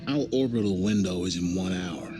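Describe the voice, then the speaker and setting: Actor as deep-voiced, seasoned spaceship commander. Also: male voice, lightly acted. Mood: businesslike. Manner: measured, authoritative. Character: seasoned, fatherly male spaceship commander nearing retirement. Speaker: spaceship mission commander character. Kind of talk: cinematic video game acting. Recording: music behind the voice.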